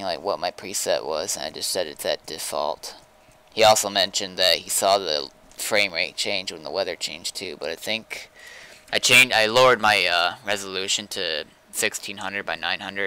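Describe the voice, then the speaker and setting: Low, bright, crisp male game streamer. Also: normal speed, low-quality recording. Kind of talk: casual livestream gameplay chat.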